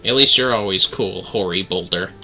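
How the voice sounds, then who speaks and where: A man's voice: low voice, normal speed, bright, forceful tone. A man livestreaming a game with casual, playful, theatrical commentary. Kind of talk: casual playthrough commentary, reading dialogue aloud playfully. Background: faint game music.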